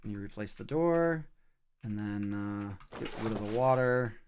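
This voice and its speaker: A man's voice: lowish voice, animated, unhurried, darkish tone. A man livestreaming a video game with casual commentary, thinking aloud in short, broken-up remarks as he plays. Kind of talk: casual live gameplay commentary. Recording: clear background noise, clicking.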